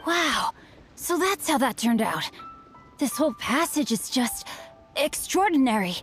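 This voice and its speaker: An earnest young swordsman who loves books, voiced by a woman, with a fairly high, youthful voice. He speaks in formal, courtly phrases and likes to quote proverbs. Soft game music plays beneath him. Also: very animated, measured pace. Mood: absorbed. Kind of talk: video game voice acting.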